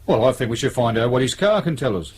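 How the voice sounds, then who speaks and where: A British actor playing a dogged, blunt police detective sergeant in a radio drama, with brisk, gruff colloquial talk. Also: male voice, medium pitch, animated, a little mellow. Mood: businesslike.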